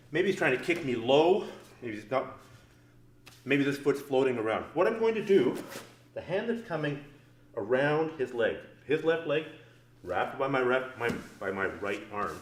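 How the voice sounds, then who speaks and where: A man's voice: medium pitch, roomy sound, a little hiss or hum. A man teaching grappling moves in a casual, joking way, explaining each step as he demonstrates on a partner. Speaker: grappling instructor. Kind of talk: instructional demonstration. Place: training mat room.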